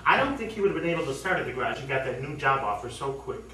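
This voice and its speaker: Man playing a grumbling husband, distant and animated. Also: medium pitch, rapid-fire. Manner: complaining, exasperated, sarcastic. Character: disgruntled husband and garage boss, sulky wedding guest. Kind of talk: amateur one-act stage play acting. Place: indoor theatre studio, heard from afar. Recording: roomy distant stage recording, faint noise.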